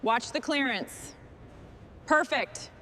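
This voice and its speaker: A woman with a fairly high voice speaks in brief, scripted work instructions, like a mechanic talking through a job. Some workshop noise runs behind her voice. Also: lively intonation, slow, a bit bright. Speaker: machinery restoration mechanic.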